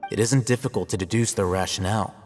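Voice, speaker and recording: An actor playing a composed, analytical young scholar in a video game, speaking in a measured, matter-of-fact way. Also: male voice, fairly low pitch, darkish tone, long reverb tail, soft background music, natural voice. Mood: composed, explaining.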